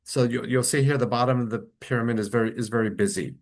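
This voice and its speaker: A middle-aged man from Colombia, an orthodontist, giving a relaxed, conversational webinar lecture over a computer microphone on a video call, with frequent fillers and restarts. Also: medium pitch, quick pace.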